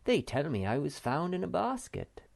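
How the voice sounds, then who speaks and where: A woman voicing a wistful, unhurried male wanderer who speaks in a slow, reflective, homespun way. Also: low-pitched, very animated, vocal fry, a little mellow, pitched above the performer's own voice, heavily acted.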